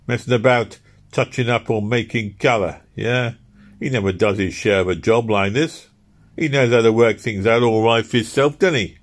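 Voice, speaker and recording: A man's voice: mid-range voice, moderate pace, voice pushed up, close to an everyday voice. A man voicing a cold, complaining house painter in a storybook reading. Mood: grumbling.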